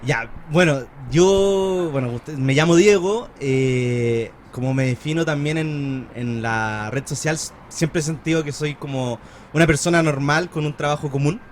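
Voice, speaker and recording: A man's voice: fairly high pitch, unhurried, a little hiss or hum. Chilean man chatting casually on a podcast. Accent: Chilean Spanish. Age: 30s. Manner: relaxed and chatty, with frequent fillers and slang. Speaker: podcast guest. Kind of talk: casual conversation.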